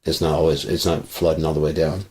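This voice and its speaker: A man's voice: extremely low pitch, flat delivery, fast, a little mellow, slightly rough. A man talking casually on a livestream, rambling and swearing freely, into a processed studio microphone.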